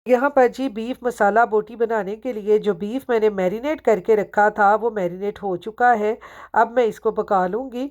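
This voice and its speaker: A woman's voice: medium pitch, normal speed, a little mellow. A woman from Pakistan, a home vlogger narrating her day chattily to viewers while her throat is sore. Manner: talks to viewers, asks them questions. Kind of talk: chatty voice-over narration of a daily routine.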